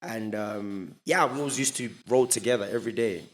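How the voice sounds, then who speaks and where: A young man, a podcast guest, telling stories in a relaxed, casual way and laughing often. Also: on the low side, brisk, noisy background. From Zimbabwe. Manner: relaxed, laughs often, mixes in local words. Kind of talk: casual storytelling chat.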